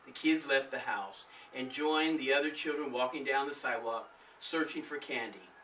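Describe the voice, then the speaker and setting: A man's voice: mid-range voice, normal speed, a bit bright, recorded from a distance, a little hiss or hum, low-quality recording. A man reading his own story aloud as a scripted storybook narrator. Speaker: author and narrator.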